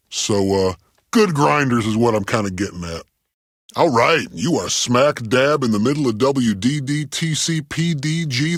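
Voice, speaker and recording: A man playing a goofy, self-important rock radio DJ in a video game, rambling in a deadpan way with ums and trailing-off thoughts. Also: medium pitch, slightly rough, dry recording, studio quality. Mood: earnest rambling, then upbeat announcing. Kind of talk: comedic radio DJ patter.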